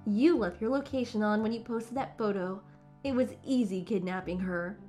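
A woman voicing a jealous, spiteful teenage supervillain in a fan comic dub, forceful and threatening. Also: mid-range voice, moderate pace, faint music behind, lightly acted. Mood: menacing.

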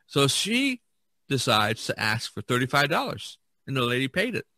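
Male podcast host, forceful bright tone, close dry sound, casual halting storyteller. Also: mid-range voice, big pitch swings, no room sound.

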